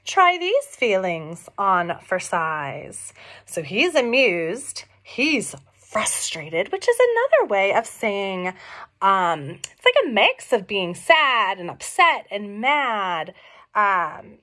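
A middle-aged woman reading a picture book aloud to young children, expressive and questioning, explaining things simply as she goes. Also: mid-range voice, takes it slowly. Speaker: read-along storyteller for young children. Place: small room at home.

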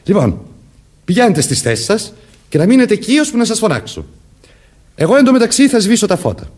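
A man's voice: sits high, sing-song, darkish tone. An actor playing a murderer who poses as a commanding policeman, vengeful and childlike underneath, in a theatrical radio drama manner. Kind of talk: Greek radio drama acting.

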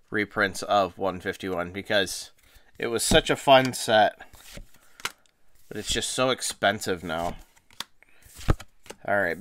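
A man with a slight cold-roughened voice talks casually to viewers into a desk mic. He rambles cheerfully and often restarts his phrases. Faint hiss sits under the recording. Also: mid-range voice, bright, forceful tone. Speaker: card-opening channel host. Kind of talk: casual unboxing chat. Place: indoors at a table, hands on camera.

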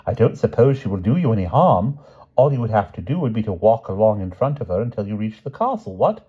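A man's voice: fairly high pitch, huge pitch swings, normal speed, slightly rough, highs cut off, voice pushed up, heavily acted. A man voicing a bumbling, fussy elderly knight-king who dithers in a plummy upper-class manner. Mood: fretful.

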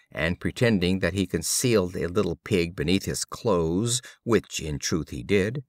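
A man narrating short fables as an audiobook reader, with an expressive, deliberate storytelling delivery. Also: fairly low pitch, no room sound, studio quality.

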